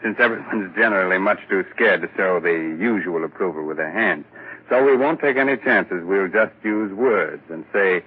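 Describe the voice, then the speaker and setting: A man's voice: deep voice, normal speed, breathy edge. A man hosting a scripted 1940s radio show in a wry, theatrical manner. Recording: muffled 1940s radio recording.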